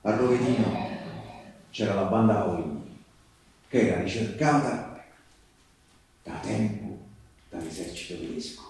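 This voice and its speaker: Very reverberant stage recording: low, dark-voiced male partisan recounting the war, emphatic, with deliberate pauses. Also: a little hiss or hum. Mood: dramatic, storytelling. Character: male wartime partisan recounting resistance memories to Teresa. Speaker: theatre storyteller. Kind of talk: live theatrical narrative monologue. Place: live theatre performance with live music. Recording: very echoey stage recording, distant, music behind.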